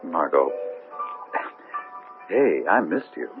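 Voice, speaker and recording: A man's voice: deep voice, big pitch swings, slow, exaggerated voice. A man playing an anxious, ambitious scientist in a 1970s radio drama, hesitant and coaxing. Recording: lo-fi 1970s radio broadcast, music behind.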